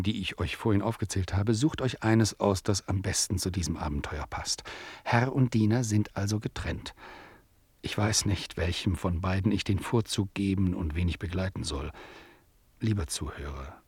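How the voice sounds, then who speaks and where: Low, husky wry narrator. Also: male voice, a little mellow, no echo. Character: wry storyteller addressing his listeners. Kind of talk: radio play narration addressing the listener.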